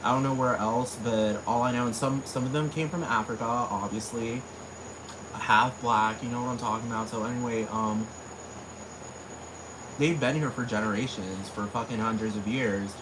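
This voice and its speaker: A man's voice: mid-range voice, steady tone, moderate pace, background noise. A man with a Puerto Rican accent ranting casually in a rambling, profane way, full of fillers. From Puerto Rico. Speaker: vlogger. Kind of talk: casual rant. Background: crickets and insects.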